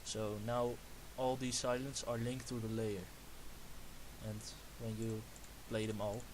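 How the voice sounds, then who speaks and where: Dutch-accented man with a slow, halting delivery. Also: on the low side, little pitch movement. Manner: halting, self-correcting, explains step by step. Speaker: music producer tutor. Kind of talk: screen-recorded production tutorial. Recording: hissy home recording.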